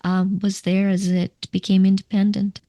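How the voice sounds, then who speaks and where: A woman's voice: medium pitch, monotone, normal speed, dark tone, no room sound. A middle-aged woman from Ukraine, a coach answering interview questions in a reflective, explanatory way with small restarts. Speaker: guest, coach.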